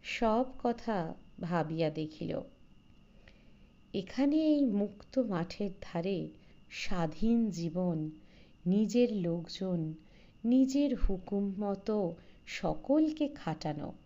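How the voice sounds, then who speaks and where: A woman's voice: medium pitch, lively intonation, slow, pauses often, warm tone, highs cut off. A woman reading a literary short story as an audiobook narrator, in a scripted narration style.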